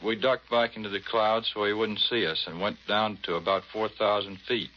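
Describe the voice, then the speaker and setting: Low-voiced American naval officer, vintage radio. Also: male voice, steady tone, moderate pace. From United States. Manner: modest, matter-of-fact. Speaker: Navy patrol squadron commander being interviewed. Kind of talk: 1940s scripted radio interview. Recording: muffled, slightly noisy 1940s radio.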